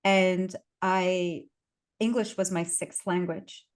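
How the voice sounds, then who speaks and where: A woman's voice: on the low side, fairly even intonation, slow pace. Chatty woman hosting. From Brooklyn, New York. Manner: chatty and warm, with filler words and laughter. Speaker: podcast host. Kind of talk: casual conversation.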